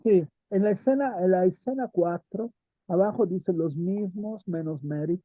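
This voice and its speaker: A man's voice: sits high, measured pace, dry recording, narrow, tinny audio. A man teaching theater history to a small class, thinking aloud and checking in with his students. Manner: thinks aloud, asks students questions, frequent "¿no?". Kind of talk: lecture and class discussion.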